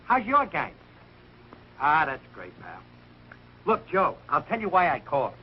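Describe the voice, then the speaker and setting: A man's voice: on the high side, wildly swooping pitch, measured pace. An actor playing a bumbling, good-hearted, hard-up American family man in a 1940s TV sitcom, talking in a plaintive, colloquial, blustery way. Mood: hopeful, flustered. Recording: muffled, noisy 1940s television soundtrack.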